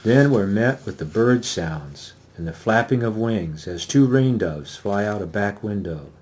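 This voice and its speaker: A man's voice: on the low side, lively intonation, a little slow, a little mellow. A man, the author, giving a dramatic reading of his first-person novel.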